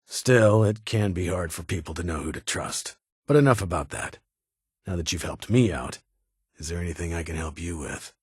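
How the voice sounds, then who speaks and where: An actor playing a stern, weary security chief in a video game, with a husky voice and a formal, clipped, authoritative manner. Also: male voice, deep voice, moderate pace, no room sound, studio quality. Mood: formal, businesslike. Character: stern, weary male vault security chief, guarded but honorable.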